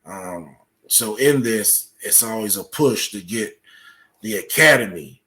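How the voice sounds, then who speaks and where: A man speaking as an interview guest, explaining things in a measured, matter-of-fact way. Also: lowish voice, expressive, bright, forceful tone. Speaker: podcast guest, writer explaining his subject.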